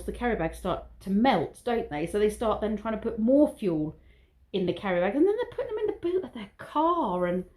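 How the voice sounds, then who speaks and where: A woman chats to her viewers in an easygoing vlog, drifting from one thought to the next. Her voice is mellow and warm. She talks in a loose, rambling way and admits when she is waffling. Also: medium pitch. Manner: rambling, chatty, self-aware waffling. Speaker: vlogger. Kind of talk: casual vlog monologue.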